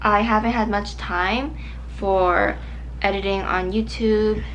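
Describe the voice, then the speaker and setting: A young woman in her twenties chatting to camera while doing her makeup, her voice a little strained and hoarse from overuse. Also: medium pitch, steady tone, slow, slight background noise, rough recording. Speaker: vlogger. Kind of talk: casual makeup chat to camera. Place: small bright room indoors.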